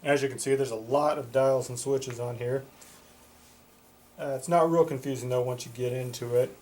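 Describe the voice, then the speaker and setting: A man's voice: medium pitch, steady tone, moderate pace, a little mellow. A man giving a casual product demonstration as a reviewer, plain-spoken and matter-of-fact. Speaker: product reviewer for a CB radio shop.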